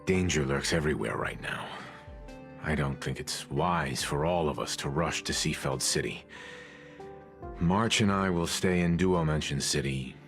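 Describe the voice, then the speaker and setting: Calm, cautious game companion; extremely low, grave voice. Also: male voice, unhurried, vocal fry, faint music behind, exaggerated voice. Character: calm, serious, cautious man. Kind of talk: video game voice acting.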